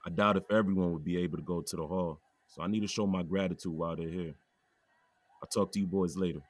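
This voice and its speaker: An actor playing a grieving police chief who speaks calmly to friends. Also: male voice, sits low, fast. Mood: steady. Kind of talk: audio drama acting.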